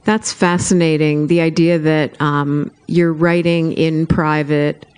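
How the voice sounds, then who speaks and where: A woman's voice: sits low, level tone, takes it slowly, darkish tone, clean tone. A woman interviewer framing long, engaged questions about a writer's book. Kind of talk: conversational literary interview.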